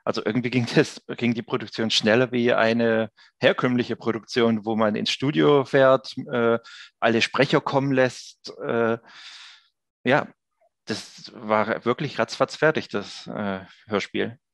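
A man's voice: medium pitch, steady tone, a little slow, vocal fry, no room sound. A man in his thirties chatting casually as an interview guest over a headset. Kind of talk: casual chat. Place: indoor office room, video call.

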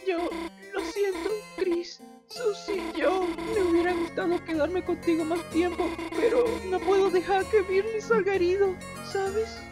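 Woman voicing a shy, very high-pitched reindeer girl. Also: steady tone, measured pace, music in the background. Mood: sorrowful. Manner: hesitant, soft-hearted. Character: shy, gentle teenage reindeer girl, timid and polite. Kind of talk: fan dub game character acting.

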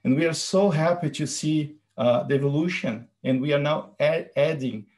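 A man with a fairly high voice gives an earnest tech talk. He explains step by step, pausing on fillers as he goes. He sounds middle-aged and eager to teach. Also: a little mellow. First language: Portuguese. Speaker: presenter.

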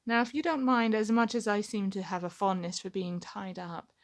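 A woman's voice: mid-range voice, fairly even intonation, normal speed, lightly acted. A woman playing an assertive, wry captive pirate admiral in an ASMR roleplay, with a formal, theatrical, teasing delivery.

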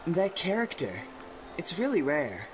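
A man's voice: fairly high pitch, wildly swooping pitch, unhurried, music in the background. A man in an anime fan dub voicing a chatty young male player who passes on gossip casually. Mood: gossipy.

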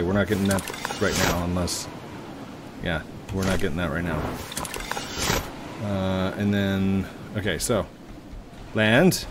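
A man's voice: lowish voice, background noise. A man in his late thirties, rambling and joking at himself while commenting on his gameplay into a headset mic. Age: 30s. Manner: rambling, self-mocking, chatty. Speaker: gaming YouTuber. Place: home room at a desk.

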